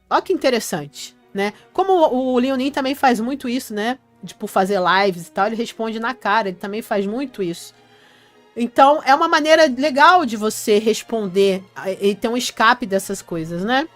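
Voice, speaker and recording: A woman hosting a casual live stream, reading viewers' comments aloud and answering them in a chatty way. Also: fairly high pitch.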